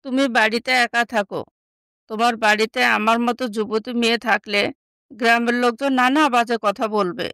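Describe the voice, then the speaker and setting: A woman's voice: a little high, steady tone, moderate pace, a bit bright, clear, resonant tone, no echo, close to an everyday voice. A woman playing a humble, sincere young stepsister who sells vegetables in a moral story. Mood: meek, pleading. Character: Ayesha, humble neglected young stepsister turned vegetable seller.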